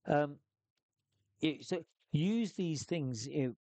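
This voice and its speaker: A man's voice: a little high, expressive, takes it slowly, mellow, no room sound. A man giving tips on solo tabletop play in a loose, thinking-aloud way, with frequent restarts. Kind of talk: casual spoken advice.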